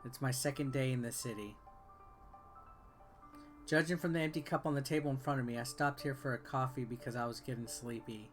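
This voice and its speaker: Casual male gamer, medium pitch, music behind. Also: steady tone, a little fast. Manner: casual, offhand. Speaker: game reviewer and video host. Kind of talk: gameplay commentary, reading game text aloud. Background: game music.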